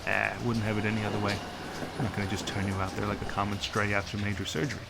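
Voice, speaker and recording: A man's voice: lowish voice, level tone, quick pace, vocal fry, very noisy. A man playing a brash, foul-mouthed dealer in illegal implants in a science fiction audio drama, blunt and full of slang. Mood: gruff, friendly.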